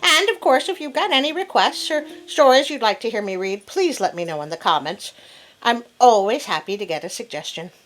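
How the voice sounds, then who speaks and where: A woman hosting a story channel, expressive and chatty as she reads tales and talks to her viewers. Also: on the high side, brisk. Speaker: host and storyteller. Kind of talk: storybook reading with casual commentary to viewers. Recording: music playing behind the voice. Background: instrumental classical music.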